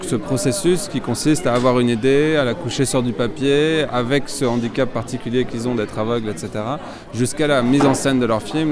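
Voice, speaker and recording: Man from France, filmmaker, hesitant with many fillers, busy crowd noise behind. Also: medium pitch. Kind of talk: interview answers.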